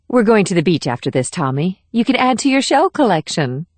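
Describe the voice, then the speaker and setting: Woman playing a lively, gently firm mother. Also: medium pitch, brisk, dry recording. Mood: gently chiding. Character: gracious, gently firm middle-aged mother. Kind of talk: audiobook character dialogue.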